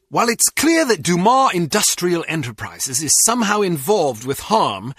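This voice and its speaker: An actor playing a stern, formal intelligence chief who gives measured, authoritative mission briefings in a video game. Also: male voice, high-pitched, a bit bright, husky, dry recording, studio quality, exaggerated voice.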